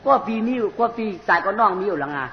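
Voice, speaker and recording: A storyteller telling a comic folk tale in an animated way, often repeating short phrases. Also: mid-range voice, fast. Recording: muffled, noisy recording in a reverberant space.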